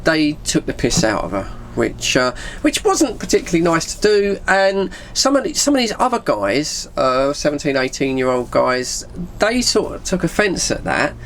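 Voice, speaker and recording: Animated middle-aged Englishman, casual storyteller. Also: a little high, normal speed, slight background noise. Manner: informal British slang, acts out bits of dialogue. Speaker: vlogger telling personal stories. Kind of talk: casual rambling anecdote chat.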